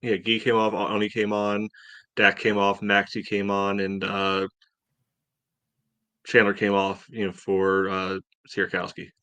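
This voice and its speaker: A man co-hosting a soccer podcast, breaking down a match in casual, halting talk. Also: on the low side, monotone, pushed and bright, smooth, dry recording. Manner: halting, peppers talk with "you know". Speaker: soccer podcast co-host and analyst.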